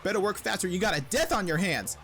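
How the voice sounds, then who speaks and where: A woman's voice: medium pitch, expressive, fast talker, a bit bright. A woman reads a scripted video essay about games in a playful, informal way. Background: background music.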